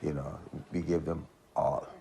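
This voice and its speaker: A man with a Barbadian accent and an extremely low, gravelly voice. He tells his story in a calm, plain interview manner. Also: unhurried.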